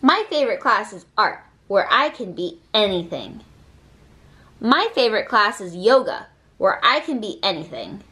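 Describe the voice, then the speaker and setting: A woman reading a children's picture book aloud with expressive, deliberate phrasing. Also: mid-range voice. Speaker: read-aloud reader.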